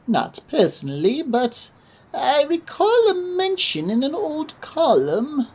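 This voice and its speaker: Elderly female librarian for audio drama, acted by a woman: high-pitched, unhurried, cautious and knowing. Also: wildly swooping pitch, a little mellow, heavily acted. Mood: hesitant, intrigued.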